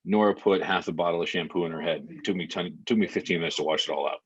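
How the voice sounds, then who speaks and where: A man as a podcast guest, talking casually and telling a story in a halting, rambling way, with many fillers and restarts. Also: deep voice, slightly rough. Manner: plain, matter-of-fact storytelling. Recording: slightly muffled lo-fi podcast audio.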